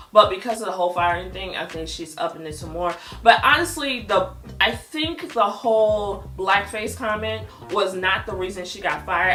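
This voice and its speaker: A woman hosting a gossip vlog, giving casual, opinionated commentary in a blunt, animated storytelling manner with frequent swearing. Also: medium pitch. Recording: echoey room with music underneath.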